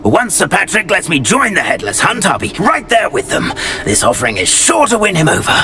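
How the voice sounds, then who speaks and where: A man's voice: sits high, wildly swooping pitch, a little fast, sharp tone, full character voice. A man voicing a genteel, eager ghost in a video game, speaking in a courtly, fussy and deferential way. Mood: cheerfully confident. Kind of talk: video game character acting.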